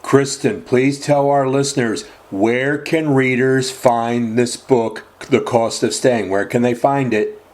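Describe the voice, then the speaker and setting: A man's voice: medium pitch, fairly even intonation, takes it slowly, a little mellow. A man hosting an interview show, asking formal questions and often repeating them. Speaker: podcast host.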